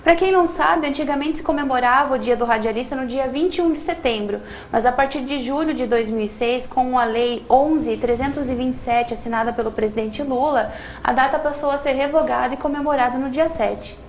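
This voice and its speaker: A woman's voice: high voice, fairly quick, few pauses, a little hiss or hum. A woman hosting a radio program, reading a formal introduction and then asking friendly interview questions.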